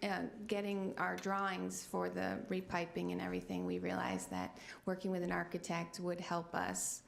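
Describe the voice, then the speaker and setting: Soft-spoken hesitant woman, gravelly. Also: on the low side, fairly even intonation, moderate pace, slight background noise. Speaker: grant applicant. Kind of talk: public meeting testimony. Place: city council chamber. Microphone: meeting microphone.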